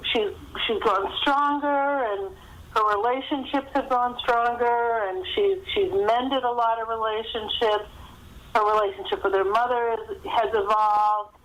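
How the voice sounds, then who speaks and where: Woman author on a phone line. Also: fairly high pitch. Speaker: guest author. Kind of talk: conversational interview answers. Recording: thin phone-line sound.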